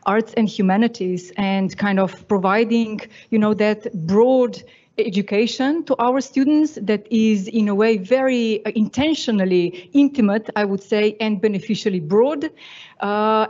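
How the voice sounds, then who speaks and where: Middle-aged woman from slovenia, lots of ums and uhs, higher voice, muffled lo-fi sound. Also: a little mellow. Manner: hesitant, many fillers, earnest. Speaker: panellist, university teacher. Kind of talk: panel discussion answers.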